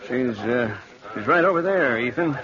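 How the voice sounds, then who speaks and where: A man plays a weary, despondent fellow in a vintage radio play, mournful and self-pitying in a middling pitch. The sound is dull, with a clear crowd murmur behind him. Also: lively intonation, moderate pace, lightly acted. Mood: troubled, confiding. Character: despondent, weary man trapped by an unwanted romance. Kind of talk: old-time radio drama acting.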